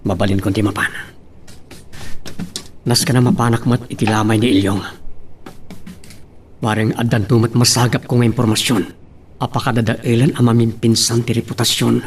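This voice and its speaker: A man's voice: medium pitch, little pitch movement, normal speed, long pauses, faint music behind, natural voice. A man acting an earnest, determined young man in a radio drama, respectful to elders. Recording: noisy, with clicks.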